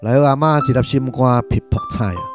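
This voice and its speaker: A man's voice: medium pitch, a little fast. Taiwanese man narrating over music. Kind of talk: scripted literary storytelling for radio drama.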